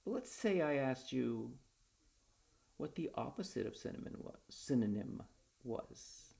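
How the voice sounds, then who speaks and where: A man's voice: medium pitch, sing-song, a little slow, gravelly, dark tone, voice pushed up. A man voicing an eager, whimsical wordsmith in a storybook tale, talkative and theatrical like a salesman. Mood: eager pitching. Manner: theatrical, earnest salesman patter.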